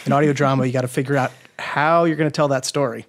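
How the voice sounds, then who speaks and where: A man speaking as a guest scriptwriter, explaining casually in an informal, matter-of-fact way with gentle teasing. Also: medium pitch, fairly even intonation, fast talker.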